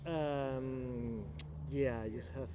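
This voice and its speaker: A man's voice: medium pitch, steady tone, very slow, background noise. A man from Venezuela in his thirties with a Venezuelan Spanish accent, speaking English as a second language. He talks reflectively in a casual interview, laughs often and sometimes searches for the right English word. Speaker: guest.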